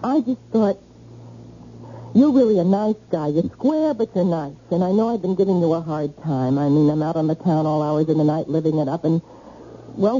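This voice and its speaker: A woman's voice: on the low side, warm tone, natural voice. An actress playing a brash, flippant night-owl girlfriend in an old-time radio drama, with a breezy, slangy, teasing manner. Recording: muffled, noisy old radio recording.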